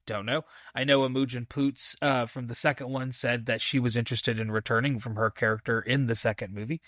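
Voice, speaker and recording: A man hosting a podcast, casually reviewing a film in a halting, thinking-aloud way with enthusiastic, repeated emphasis. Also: mid-range voice, fairly even intonation, a bit bright, no echo, studio quality. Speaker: movie review podcast host.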